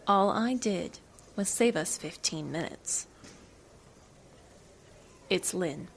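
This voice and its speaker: An actress playing a cool, evasive government agent in an audio drama, with a dry, teasing, knowing manner. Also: female voice, fairly low pitch, animated, slow pace, gravelly, a little hiss or hum, exaggerated voice. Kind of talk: naturalistic audio drama acting.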